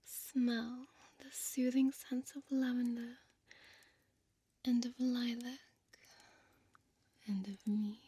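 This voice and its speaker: A woman playing a seductive, possessive vampire innkeeper in an ASMR audio roleplay, whispering softly with hypnotic, commanding slowness. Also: a little high, level tone, no echo. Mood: soothing, hypnotic. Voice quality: breathy, soft whisper. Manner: slow, hypnotic, coaxing, deliberate pauses.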